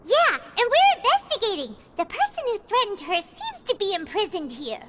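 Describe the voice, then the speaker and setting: A woman voicing a tiny, excitable floating fairy companion who chatters on and refers to herself in the third person. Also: very high-pitched, normal speed, a little hiss or hum, exaggerated voice. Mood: excited. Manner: talks about herself in third person, bubbly and nosy. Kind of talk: video game voice acting. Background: game music.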